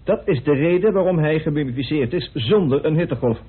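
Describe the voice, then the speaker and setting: A man's voice: fairly high pitch, expressive, brisk, slight background noise, lightly acted. An actor in a radio play voicing a stubborn, wry man guarding a secret, emphatic and evasive. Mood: defensive, wry. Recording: muffled phone-like old radio recording.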